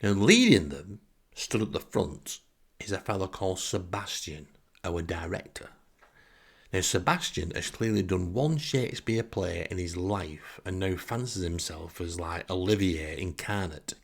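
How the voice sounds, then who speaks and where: Low, creaky storytelling man. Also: measured pace. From northern England. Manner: wry, exasperated. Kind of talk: comic anecdotal monologue.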